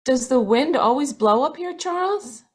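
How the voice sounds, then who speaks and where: A woman plays an uneasy wife in a radio horror play. Her voice sits a little high and moves widely in pitch, plaintive and submissive. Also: animated, moderate pace. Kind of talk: old-time radio drama acting.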